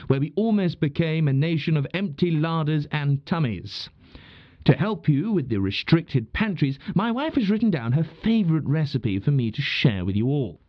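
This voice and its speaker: A man's voice: fairly high pitch, dry recording, highs cut off. A man playing a genial wartime British radio broadcaster from 1940, speaking formally but chattily in old-fashioned phrases, with a British accent. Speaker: radio host. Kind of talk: scripted 1940s radio acting.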